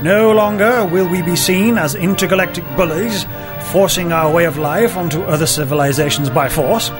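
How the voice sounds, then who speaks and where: Man playing a high-voiced earnest starship officer. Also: normal speed, over music, lightly acted. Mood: earnest, proud. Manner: earnest, speechifying. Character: prim, idealistic, long-winded male starship officer. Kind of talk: comedy radio play acting.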